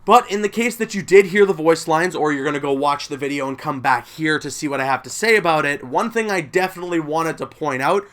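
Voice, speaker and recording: A man's voice: a little high, fairly quick, hardly any pauses, a bit bright. A man hosting gaming news commentary in a casual, conversational and enthusiastic way, leaning on key words for emphasis. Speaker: gaming video host.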